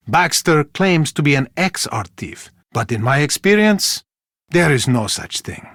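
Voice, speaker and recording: An actor playing a charming, flirtatious Venetian crime broker in a video game, with an Italian accent and a formal, courteous manner. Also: male voice, fairly high pitch, fairly bright tone, dry recording, studio quality, full character voice. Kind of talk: theatrical video game dialogue.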